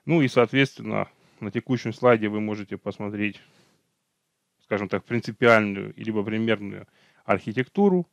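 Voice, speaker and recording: Man, lowish voice, dry close sound, online tech trainer, hesitant fillers. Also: vocal fry, no echo. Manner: unhurried, many fillers and self-corrections. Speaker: technical trainer. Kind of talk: online training lecture over slides.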